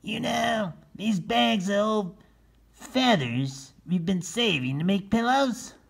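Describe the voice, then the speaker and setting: Man doing a high-pitched, tough turkey leader. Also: lively intonation, slow, vocal fry, breathy edge, full character voice. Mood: scheming. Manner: loud and emphatic.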